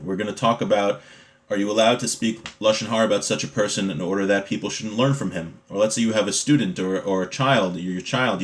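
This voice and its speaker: A man teaching an informal Torah class, explaining religious law conversationally with everyday examples. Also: lowish voice.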